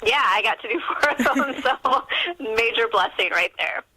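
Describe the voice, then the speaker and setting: A woman's voice: fairly high pitch, lively intonation, moderate pace, sharp tone, dry recording, low-quality recording. A middle-aged American woman talking conversationally as an interview guest. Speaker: author, podcast guest.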